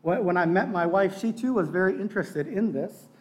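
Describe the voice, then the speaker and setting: A man's voice: high voice, animated, a little fast, darkish tone, distant mic. A middle-aged man preaching in a conversational, earnest way, sharing personal testimony and repeating phrases for emphasis. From born in Bangladesh, childhood partly in Pakistan in a missionary family. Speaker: pastor giving a sermon. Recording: echoey room.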